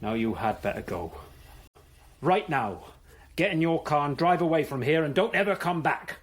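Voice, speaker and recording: A man's voice: on the high side, animated, normal speed, a little hiss or hum, natural voice. A man playing a curt village publican. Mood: firm. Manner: curt and firm. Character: gruff male village publican, firm and curt. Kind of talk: radio drama acting.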